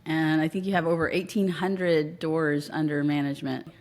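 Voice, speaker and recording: A woman's voice: deep voice, level tone, normal speed, a little mellow. A woman hosting a podcast, introducing and questioning a guest in a conversational interview style. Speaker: podcast host.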